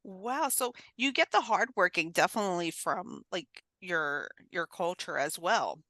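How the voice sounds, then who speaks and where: Bright, animated woman host. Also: lowish voice, a little slow, no echo, clean studio sound. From United States, Uruguayan family. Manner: animated, exclaiming, laughs often. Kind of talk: casual interview chat.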